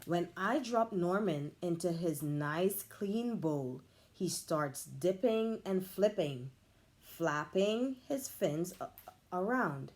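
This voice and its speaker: A woman, a teacher, reading a children's picture book aloud with expressive, animated storytelling. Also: on the low side, takes it slowly.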